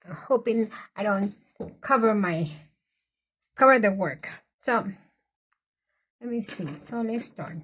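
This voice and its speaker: A middle-aged woman with a Colombian accent, chatting casually and meandering with frequent restarts while she colors for her vlog. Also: fairly high pitch. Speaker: coloring vlogger.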